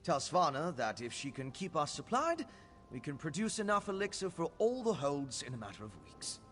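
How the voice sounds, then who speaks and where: A man's voice: a little high, very animated, moderate pace, fairly bright tone. An actor playing an earnest, scholarly male alchemist mage in a video game, explaining things in a learned, formal way. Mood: cautious, earnest.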